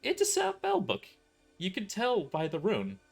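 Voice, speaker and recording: A man's voice: high-pitched, huge pitch swings, unhurried, gravelly, slightly rough, faint music behind, pitched above the performer's own voice, heavily acted. A man voicing a scruffy, frightened gang survivor who stammers nervously, in an amateur audio drama. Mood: nervous, sheepish.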